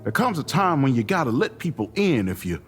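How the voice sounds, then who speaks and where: Audio drama role: folksy male mentor, mid pitch with lively swings, gently coaxing, music beneath. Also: fairly quick. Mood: reassuring, frank. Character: folksy, warm-hearted older mentor friend, gently teasing. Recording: music underneath.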